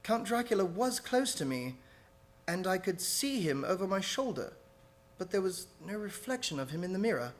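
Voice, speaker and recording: Male actor as anxious captive gentleman, high male voice, earnest, dramatic, formal, radio horror play. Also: big pitch swings, normal speed. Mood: uneasy, grave. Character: anxious English gentleman, prisoner narrating his diary. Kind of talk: old-time radio horror play acting.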